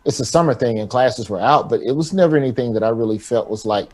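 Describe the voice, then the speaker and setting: A comedian from talks at an ordinary middle pitch. He tells stories in a wry, loose way. His punchlines climb and get animated. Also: fast. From Alabama, US. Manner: wry, animated joke-telling with rising emphasis. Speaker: comedian guest. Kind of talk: casual chat with comic storytelling.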